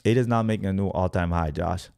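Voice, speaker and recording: A man's voice: sits low, fast talker, gravelly, a little mellow, smooth, no echo, studio quality. A man born in Congo, hosting an explanatory market chart commentary, repeating his points emphatically and often checking in with listeners. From born in Congo, Africa. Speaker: host, crypto trading educator.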